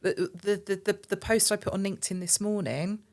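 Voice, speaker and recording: A woman's voice: medium pitch, fairly quick, a little mellow. A woman guest on a casual podcast conversation, talking earnestly and emphatically, often repeating words as she starts a thought. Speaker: podcast guest, marketing agency director.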